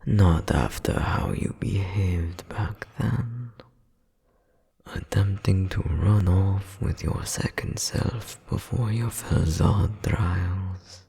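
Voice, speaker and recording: A man's voice: sits low, slow, mellow, full character voice. A man playing a cold, domineering demon nobleman scolding as an older brother, with haughty, formal menace in an ASMR audio roleplay. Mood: cold contempt. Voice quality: breathy, gravelly half-whisper. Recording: long cavernous reverb.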